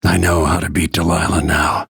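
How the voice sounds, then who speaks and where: A middle-aged assassin from a video game, grim and bent on revenge. The voice sits deep, with heavy gravel and a very raspy husk, recorded dry. Lines come out terse and brooding, always under control. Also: male voice, level tone, normal speed, a bit bright, no room sound, exaggerated voice. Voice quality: gravelly, husky. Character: grim, vengeful middle-aged male assassin bodyguard and protective father.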